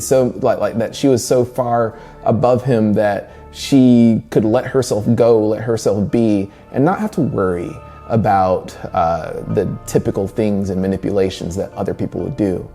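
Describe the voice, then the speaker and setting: Mellow, low-voiced man giving literary commentary. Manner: conversational, wry asides. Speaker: presenter reviewing a short story. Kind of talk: semi-scripted literary analysis narration.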